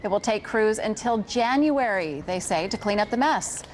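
A woman's voice: mid-range voice, lively intonation, moderate pace, a little hiss or hum. A woman presenting television news as an anchor.

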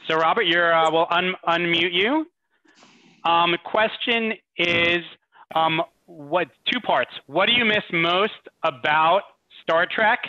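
High, bright-voiced man hosting. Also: slow. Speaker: host. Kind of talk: casual hosting of a live panel. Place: indoor room, on a video call. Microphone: computer mic on video call. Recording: muffled lo-fi video call.

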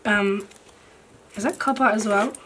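Croaky woman chatting casually. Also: medium pitch, fairly even intonation, measured pace, fairly bright tone, a little hiss or hum, low-quality recording. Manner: chatty, filler-heavy, swears casually. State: croaky, recovering from losing her voice. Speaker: planner vlogger. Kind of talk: casual haul chat while sorting stickers. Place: at a desk indoors, filming hands from above.

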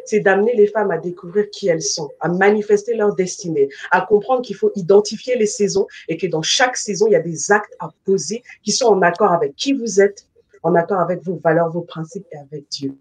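A middle-aged woman from the Congo introduces herself as a life coach over a video call. Her voice is slightly husky. She speaks fluently and earnestly, with a devout tone, through the webcam mic. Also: on the low side, fairly quick. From Republic of the Congo. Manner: earnest, faith-filled, fluent testimony. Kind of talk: guest self-introduction. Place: home room, video call.

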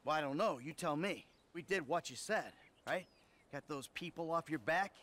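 Sardonic middle-aged American crook, game cutscene, higher-set voice, some background noise. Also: male voice, animated, normal speed, a bit bright. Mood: wry. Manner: sardonic, exasperated. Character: jaded, sarcastic middle-aged career criminal. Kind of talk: cinematic game acting.

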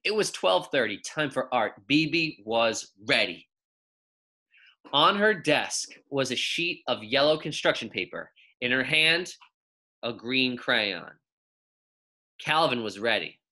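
A young man reading a children's story aloud as a teacher, with expressive, emphatic delivery and drawn-out key words. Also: medium pitch. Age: young adult.

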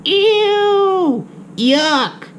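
A man's voice: extremely high pitch, expressive, drawn-out words, fairly bright tone, a little hiss or hum, pitched above the performer's own voice, heavily acted. Man putting on squealing, indignant cartoonish mole rat. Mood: disgusted. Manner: exclamatory, exaggerated disgust and alarm. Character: squeamish, indignant crowd of young naked mole rats.

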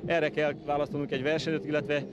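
A man speaking in a flat, matter-of-fact way in an outdoor interview at a race. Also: fairly high pitch, highs cut off, music in the background. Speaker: sports official interviewee.

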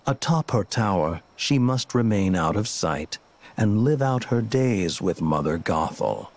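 A smug cloaked game villain, drawling slowly in a low voice. His delivery is theatrical and condescending, swinging through wide intonation over music. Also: expressive, a little mellow. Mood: smug, calm. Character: smug, coolly menacing male villain in a dark cloak. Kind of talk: scripted video game cutscene acting.

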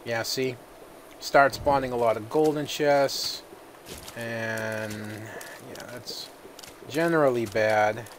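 A man who is feeling a little sick, giving relaxed let's-play commentary and thinking aloud with plenty of fillers. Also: medium pitch, slow pace, long pauses, a little hiss or hum. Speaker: gaming video host.

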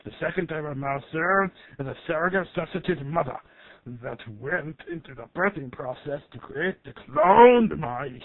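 Guy doing an excitable doctor explaining science in a comedy skit, kinda high voice, easily annoyed, tinny radio sound. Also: normal speed, no room sound. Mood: lecturing. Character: excitable, easily irritated male doctor explaining cloning with mock-authority. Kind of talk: amateur comedy skit acting with scripted explanation. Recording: thin, band-limited, dry radio-like sound.

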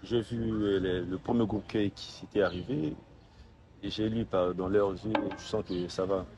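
Quiet, low-voiced young man. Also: normal speed, noisy background. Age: young adult. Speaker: footballer being interviewed. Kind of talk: casual interview answers. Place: outdoors by a hotel pool.

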